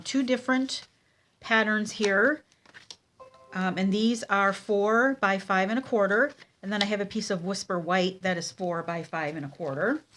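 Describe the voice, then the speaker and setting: A woman with a cold and a nasal, congested voice, teaching a casual card-making tutorial at her craft table, with many ums and pauses. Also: mid-range voice, fairly bright tone, slight background noise.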